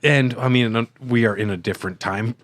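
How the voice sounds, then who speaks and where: A man's voice: medium pitch, normal speed. A man co-hosting a podcast, casually reading a story summary and adding wry jokes. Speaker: podcast co-host. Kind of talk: casual recap read from notes with joking banter.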